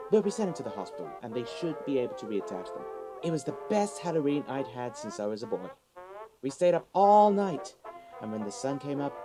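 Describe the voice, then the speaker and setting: A man's voice: a little high, low-quality recording. A man in a comedic audio drama playing an earnest, polite, slightly bewildered sidekick and storyteller.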